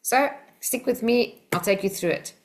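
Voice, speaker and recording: A woman's voice: a little high, normal speed. South African woman leading a webinar. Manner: encouraging, direct, chatty. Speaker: Silva Method instructor. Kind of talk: hosting a webinar over a video call. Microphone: video call.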